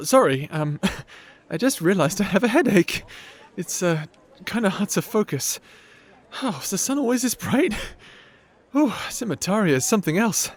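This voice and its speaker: A man acts out a shy, bookish young wizard with a fairly high voice. He is polite to a fault, anxious and flustered, tripping over his words as in a fantasy audio drama. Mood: awkward, grateful. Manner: stammering, apologetic, flustered. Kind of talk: fantasy audio roleplay acting.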